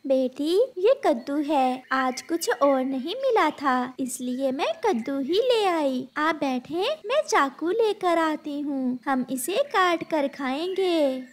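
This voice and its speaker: A woman's voice: sits high, lively intonation, slow pace, few pauses. A woman voicing a sensible, sincere mother bird in a children's cartoon. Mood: calm, practical. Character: kindly, sensible mother bird, honest and contented. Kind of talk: children's cartoon story acting.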